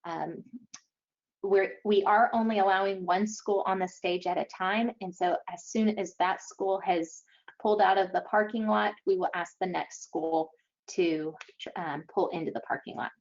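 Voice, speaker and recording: A woman giving an explanatory webinar briefing as an event organizer, speaking haltingly with frequent pauses. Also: mid-range voice, steady tone. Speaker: event organizer presenting to schools. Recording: dull, lo-fi online webinar audio.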